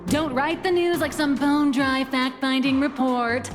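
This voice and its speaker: Brash female editor-in-chief for a game: upbeat, bossy, coaxing, higher-pitched voice over music. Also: unhurried, big-room sound. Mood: bossy, instructive. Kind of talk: video game character acting.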